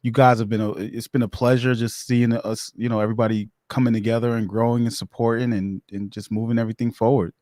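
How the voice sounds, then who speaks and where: A man hosts a podcast interview in a relaxed, conversational way. His words come quickly, and the sound is dry, with no room echo. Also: mid-range voice. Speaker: podcast host. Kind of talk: casual interview chat.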